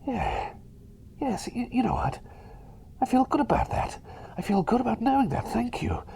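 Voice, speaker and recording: A man playing a cheery, eccentric, gentle plague doctor in a whispered, rambling and soothing ASMR roleplay. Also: extremely high pitch, lively intonation, normal speed, vocal fry, husky, full character voice. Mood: relieved, grateful.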